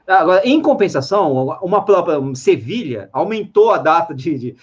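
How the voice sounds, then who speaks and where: A man talks in an easygoing, hedging way with a husky voice and a lively pitch. His voice comes through dull, lo-fi audio. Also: moderate pace. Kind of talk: casual chat about running. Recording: muffled lo-fi audio.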